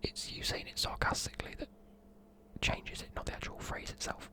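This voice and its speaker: A man, whispering softly in a chatty, rambling way while explaining words. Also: moderate pace. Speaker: ASMR creator. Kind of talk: whispered ASMR explaining slang.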